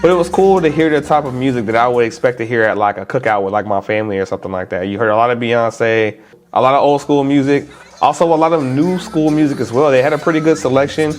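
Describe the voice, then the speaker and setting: A man with a stuffed-up, congested nose talks straight to his viewers in a loose, chatty way, full of informal slang. His voice sits at an ordinary middle pitch. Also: fast talker, faint music behind. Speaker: vlogger. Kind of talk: casual talk to camera.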